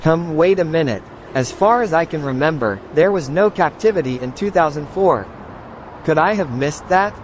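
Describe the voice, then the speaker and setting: A man voicing a kindly, calm mentor with a measured manner in an audio novel. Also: on the high side, slight background noise, lightly acted. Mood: matter-of-fact. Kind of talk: scripted audio novel dialogue. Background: music.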